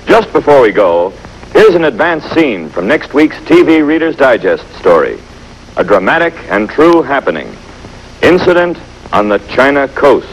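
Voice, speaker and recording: Lively 1950s American male TV announcer. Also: medium pitch, moderate pace. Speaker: television host announcer. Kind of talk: 1950s scripted sponsor announcement. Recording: faintly noisy vintage TV soundtrack.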